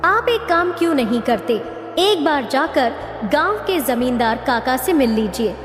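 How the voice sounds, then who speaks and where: High-voiced woman acting a caring village wife, echoey. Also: expressive, a little slow. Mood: attentive, helpful. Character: supportive, caring village wife, practical adviser. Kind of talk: Hindi moral-story cartoon voice acting. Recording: echoey reverb with faint music.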